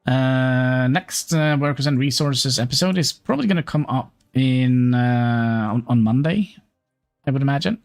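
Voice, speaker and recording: A man talks through a game as he plays, pausing and restarting while he works things out. His voice sits at an ordinary middle pitch, with faint music underneath. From Norway. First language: Norwegian. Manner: thinking aloud, halting with fillers. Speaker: streamer. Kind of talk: live gameplay commentary.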